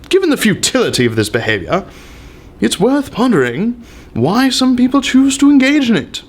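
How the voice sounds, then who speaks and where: A man giving a pompous, theatrical dramatic reading of an essay with exaggerated emphasis. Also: fairly high pitch.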